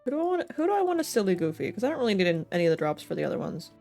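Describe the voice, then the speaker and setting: A woman's voice: medium pitch, very animated, rapid-fire, creaky, darkish tone. Playful rambling woman streamer over game music. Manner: playful, rambling, thinking aloud, silly slang. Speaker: livestreamer. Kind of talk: casual gaming stream chat.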